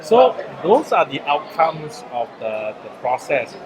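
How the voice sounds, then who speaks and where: A man from Singapore with a Singaporean English accent, interviewing a young poet in a chatty, animated way. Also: on the high side, takes it slowly, a crowd in the background, poor audio. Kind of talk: casual interview chat.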